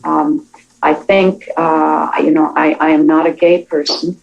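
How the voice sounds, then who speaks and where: A woman giving thoughtful, measured interview answers as a television writer, speaking reflectively about her work. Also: low voice, little pitch movement, vocal fry, muffled recording, faint music behind.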